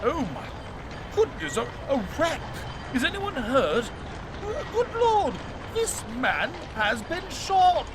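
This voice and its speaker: A flustered Victorian gentleman surgeon in a radio drama, his high voice swooping about. He blusters and exclaims in a proper, indignant manner, with clear street noise behind. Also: very animated, takes it slowly, full character voice. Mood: shocked.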